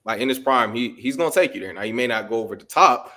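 A man's voice: mid-range voice, expressive, fast, sharp tone. A man in casual sports talk on a video call, hesitant and full of fillers and restarts. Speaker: podcast panelist.

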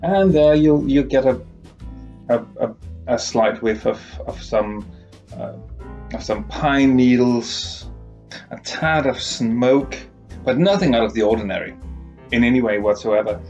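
A man's voice: medium pitch, measured pace, long pauses, darkish tone, muffled recording, soft background music. A middle-aged man vlogging in a living room, giving rambling, wordy reviews and sarcastic commentary. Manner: sardonic, wordy. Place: small living room, indoors.

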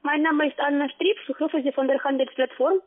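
High-voiced woman on a tinny phone. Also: steady tone, fairly quick. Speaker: sales caller. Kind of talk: phone sales call.

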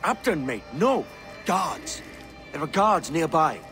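An actor playing a roguish, protective pirate assassin in a video game, speaking in a matter-of-fact way. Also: male voice, on the high side, sing-song, takes it slowly, pushed and bright, faint music behind, heavily acted. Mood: urgent. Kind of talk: video game dialogue acting.

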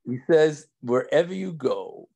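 Older rabbi with a thick New York accent, gravelly, chatty, on a home video call. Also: male voice, a little high, animated, measured pace, mellow. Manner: rambling, joking, laughs often, many restarts. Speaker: rabbi teaching an evening class. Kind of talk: casual chat with class members. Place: indoors at home. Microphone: video call from a phone or webcam.

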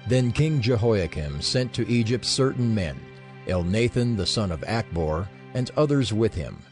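A man's voice: lowish voice, darkish tone. A man narrating scripture from a script as the storyteller of an audio drama.

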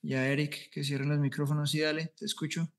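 Guy teaching online, upbeat, reassuring, straight to the point, bit high voice. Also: flat delivery, fast talker, gravelly. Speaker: trading instructor. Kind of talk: online class Q&A.

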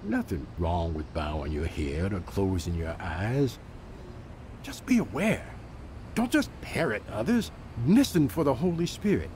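An actor playing a gentle, earnest evangelist mentor in an audio drama, plainspoken and patient, with measured, fatherly delivery. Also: fairly low pitch, lively intonation, slight background noise. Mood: gentle correction.

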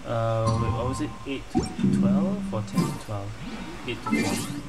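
A man's voice: mid-range voice, measured pace, poor audio. A man giving casual gameplay commentary, muttering to himself and repeating words as he plays. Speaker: gamer playing and commenting. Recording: loud game music behind the voice. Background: video game music.

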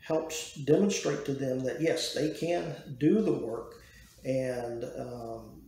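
Gravelly older man in an echoey room. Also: mid-range voice, warm tone. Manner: measured, many "uh" fillers and restarts. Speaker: woodworking educator. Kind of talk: unscripted talk to camera. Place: dim small room indoors.

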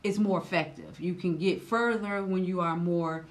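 A woman in her thirties doing casual, slangy reaction commentary, loud and expressive, her voice swinging high when riled, recorded seated indoors on a camera. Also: normal speed. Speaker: reaction vlogger.

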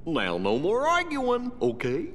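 A man's voice: huge pitch swings, measured pace, a bit bright, full character voice. A man voicing a goofy, good-natured cartoon dog sidekick in a video game, with a gulping voice. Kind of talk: cartoon-style game acting. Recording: reverberant hall, faint background rumble.

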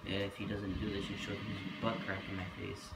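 A man's voice: on the low side, completely flat delivery, normal speed, creaky. A man with a scratchy voice from being sick, giving casual, playful gameplay commentary and trash-talking the game. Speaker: gaming YouTuber. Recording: echoey room, loud game audio.

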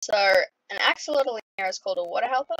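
A chatty Australian woman talks her way through a computer game at her desk. She rambles with plenty of ums and fillers, then bursts into playful excitement. Her voice sits at an ordinary middle pitch. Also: quick pace, muffled recording, background noise. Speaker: gaming vlogger. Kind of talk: casual game commentary.

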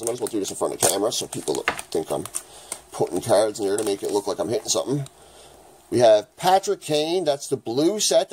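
A man with a raspy voice from the flu, chatting casually as he opens hockey card packs in his home kitchen. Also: mid-range voice. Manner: chatty, rambling, excited at good pulls. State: raspy, getting over the flu. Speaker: hockey card channel host. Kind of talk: casual card-opening vlog.

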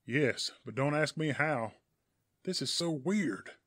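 Guy doing a husky gravelly superhero, plucky, really animated. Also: a little high, slow pace, dry recording, clean studio sound, exaggerated voice. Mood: baffled, wry. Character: plucky, wry male superhero.

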